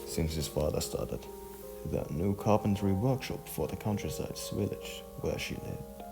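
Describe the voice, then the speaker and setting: A man narrating a scripted story in a halting way, stumbling over words. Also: low voice, vocal fry, music in the background.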